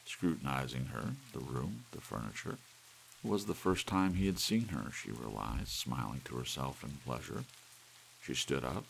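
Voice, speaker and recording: A man narrating an audiobook in ASMR style, with soft rain behind him. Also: a bass voice, vocal fry, a little hiss or hum, poor audio.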